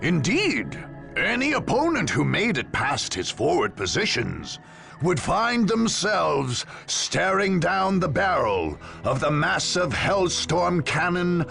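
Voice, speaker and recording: A man performing as a grave war chronicler, giving solemn, theatrical scripted narration for a sci-fi game in a gravelly voice. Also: on the high side, wildly swooping pitch, slow pace, bright, forceful tone, soft background music, full character voice. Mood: grave, ominous.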